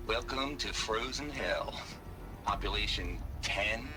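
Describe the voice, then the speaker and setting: An actor playing a crude, wisecracking tech worker with folksy, sardonic slang in a video game audio log. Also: male voice, on the low side, slow, over music, rough recording. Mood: wry, teasing.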